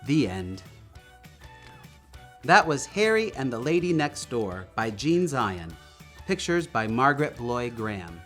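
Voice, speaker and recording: A man narrates a children's picture book with a highly animated, swooping delivery. His voice sits at a middle pitch, and he tells the story deliberately and expressively. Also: faint music behind. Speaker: storybook reader.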